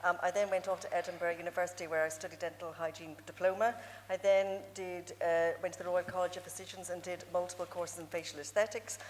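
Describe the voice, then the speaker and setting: A woman's voice: lowish voice, steady tone, quick pace. A woman from Ireland giving a hustings speech as an election candidate, listing her qualifications. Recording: echoey hall, faint background noise.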